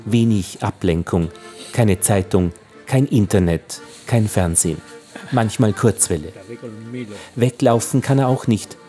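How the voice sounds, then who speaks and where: Dark-voiced, sober male narrator. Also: lowish voice, measured pace, faint music behind. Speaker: radio play narrator. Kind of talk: scripted documentary-style narration.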